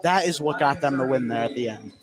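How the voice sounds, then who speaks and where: A man's voice: mid-range voice, fairly quick, over music. A man giving conversational color commentary on a live basketball game. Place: courtside in a gymnasium. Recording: gym crowd noise behind voice. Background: game crowd.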